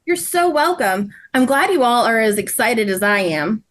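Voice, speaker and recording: A woman's voice: fairly high pitch, big pitch swings, normal speed. A woman playing an affectionate, enthusiastic friend in a table read of a children's story. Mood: delighted. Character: warm, eager friend Paprika, welcoming and sweet.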